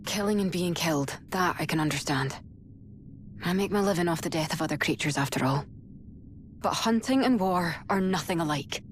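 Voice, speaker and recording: Woman acting a tough game mercenary hunter lady, lowish voice, dry and matter of fact, determined. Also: moderate pace, a little hiss or hum, natural voice. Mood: grim resolve. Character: battle-hardened female mercenary hunter, pragmatic and determined. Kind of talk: dramatic video game cutscene acting.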